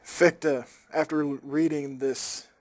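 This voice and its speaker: A man's voice: on the high side, steady tone, measured pace. A man hosting a casual group discussion, asking for opinions and giving his own. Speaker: host of a group reading show.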